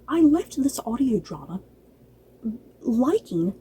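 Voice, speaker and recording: A woman's voice: fairly high pitch, big pitch swings, slow pace, warm tone, husky. A woman giving a casual, gushing review as a vlogger, talking directly to viewers in an informal, playfully exasperated way. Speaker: book and drama review vlogger. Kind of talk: casual review chat to camera.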